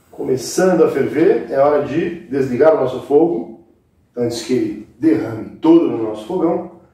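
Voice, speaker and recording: The sound is strongly reverberant, picked up a little away from the microphone in an indoor kitchen. A Brazilian man with a mellow, mid-pitched voice hosts a cooking lesson. He talks informally and directly to viewers, walking them through each step. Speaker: cooking show host. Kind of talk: casual step-by-step recipe demonstration. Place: indoor kitchen set with brick wall. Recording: very echoey room, distant microphone.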